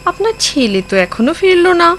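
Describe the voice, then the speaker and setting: A woman's voice: extremely high pitch, big pitch swings, fast, fairly bright tone, a little hiss or hum, full character voice. A woman voicing a ghost disguised as an eager, dutiful young daughter-in-law in a children's cartoon. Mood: anxious. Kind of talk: Bengali children's cartoon acting.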